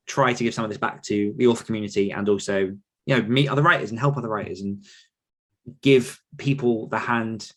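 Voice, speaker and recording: A man's voice: fairly low pitch, a bit bright. A man speaking as a podcast guest, giving reflective, explanatory answers in a conversational interview style. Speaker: podcast guest, author and writing coach.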